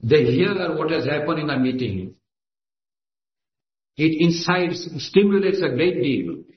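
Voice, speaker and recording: A man's voice: on the high side, sing-song, a little slow. A man with an Indian accent, a native Gujarati speaker, giving an emphatic, declamatory speech at a meeting. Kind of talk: impassioned speech. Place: assembly hall. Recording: echoey lo-fi hall recording.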